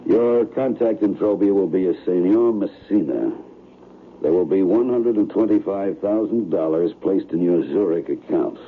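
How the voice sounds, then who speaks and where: A cool, businesslike man arranging a killing in a vintage radio thriller. His low voice is formal and controlled, on a tinny recording with faint noise. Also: moderate pace. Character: businesslike, unflappable man who hires an assassin. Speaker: actor in radio drama. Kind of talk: old-time radio drama acting.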